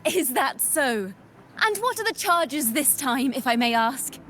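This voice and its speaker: High-voiced theatrical young bard. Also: female voice, very animated, a bit bright, heavily acted. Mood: mock-innocent, defiant. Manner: theatrical, measured, poetic delivery. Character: theatrical young female bard, defiant, playful storyteller. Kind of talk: dramatic storytelling in game voice acting. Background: music.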